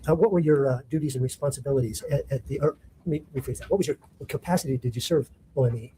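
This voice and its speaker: Lawyer guy questioning a witness, mellow voice, kinda halting, courtroom. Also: mid-range voice, slight background noise. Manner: halting, many ums and rephrasings. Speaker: trial attorney. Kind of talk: witness examination.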